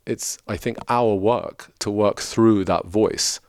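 Deep gravelly man. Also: slow pace, darkish tone, no echo. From Lebanese, grew up in London. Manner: thoughtful, earnest, frequent restarts. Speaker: guest, spiritual mentor. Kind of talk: reflective podcast conversation.

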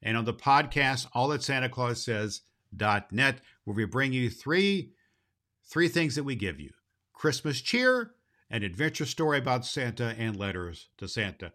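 Kindly old Santa Claus acted by a man, children's show host, folksy and rambling, bright voice with very lively pitch. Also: moderate pace. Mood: cheerful, promotional. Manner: folksy, rambling, emphatic, repeats himself.

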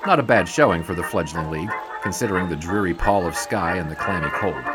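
Loud music plays behind an actor as a 1920s sports writer with a lowish voice. He narrates games of the past in a measured, literary way, as scripted audio drama. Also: expressive. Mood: narrating, wry.

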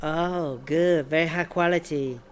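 Bossy startup boss, clipped broken English. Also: female voice, low-pitched, steady tone, unhurried, slight background noise. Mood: pampered, approving. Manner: clipped broken English, dropped verbs and articles. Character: bossy woman startup boss pushing dubious business, breezy. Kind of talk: comedy audio drama acting.

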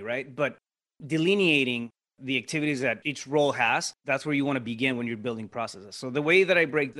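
A man's voice: mid-range voice, a bit bright. Man, Mexican Spanish accent, native Spanish speaker, podcast guest, explains step by step, conversational. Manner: explanatory, lays out steps, frequent tag questions. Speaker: podcast guest, real estate investor. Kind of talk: casual interview talk.

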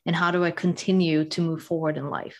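A woman from Sweden speaks as an interview guest in a lowish voice. She tells her story thoughtfully and evenly, taking her time over each point. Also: flat delivery, a little mellow. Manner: reflective, measured storytelling. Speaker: podcast guest. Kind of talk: conversational interview.

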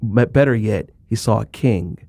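A man's voice: lowish voice, moderate pace, mellow, no room sound. A man hosting an interview, drawing out his guest's story with encouraging, affirming prompts. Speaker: podcast host. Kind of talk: conversational interview.

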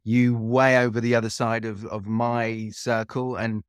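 A man's voice: mid-range voice, level tone, a little slow, clear, resonant tone, no echo, studio quality. A middle-aged man from London with a British accent, chatting as an interview guest and rambling through stories with many restarts. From London, England. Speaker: guest, coach. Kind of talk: casual chat. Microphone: video call.

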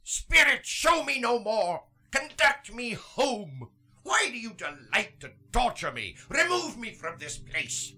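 A man's voice: very high voice, very animated, takes it slowly, pushed and bright, exaggerated voice. A man playing a frightened old miser humbled by spirits, in formal, pleading theatrical radio acting. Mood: anguished, pleading.

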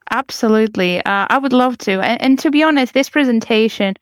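Smooth-voiced Greek-Italian woman guest. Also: a little high, a little fast, dry recording, studio quality. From Greece and Italy. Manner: enthusiastic, animated, occasional laughs and restarts. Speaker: guest, change consultant. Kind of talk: podcast interview.

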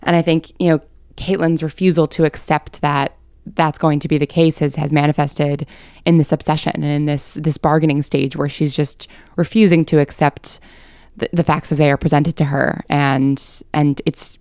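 Low, smooth-voiced woman in a thoughtful interview. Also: flat delivery, normal speed, a little mellow, dry recording, studio quality. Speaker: writer and interview guest.

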